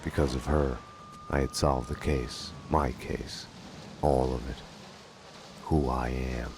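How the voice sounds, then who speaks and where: An actor playing a grim, world-weary detective, narrating in a slow, poetic, brooding noir style for a video game. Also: male voice, extremely low pitch, fairly even intonation, background noise. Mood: brooding, somber.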